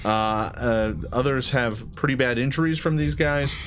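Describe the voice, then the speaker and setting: A man working as game master, narrating a tabletop game off the cuff in a halting, deliberating way. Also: medium pitch, fairly bright tone.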